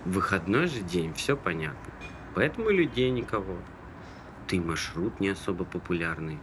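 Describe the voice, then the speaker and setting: A man's voice: low-pitched, very animated, moderate pace. An actor playing a talkative, good-natured young man who muses in a casual conversational way in a stage drama. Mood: enthused musing. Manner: musing, rambling, conversational. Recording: noisy ambient background with vehicle sounds.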